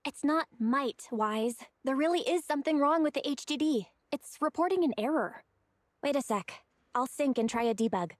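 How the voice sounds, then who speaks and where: A perky young woman tech operator in an anime-style game. She sounds high and girlish, quick-witted, teasing yet decisive, in a dry studio recording. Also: expressive, dry recording. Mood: alert, urgent.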